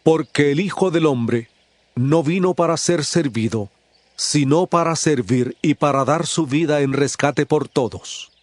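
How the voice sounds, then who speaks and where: An actor playing an authoritative male teacher addressing his disciples in a solemn, measured, declamatory way in a dramatized scripture reading. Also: a little high, no room sound. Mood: firm, teaching.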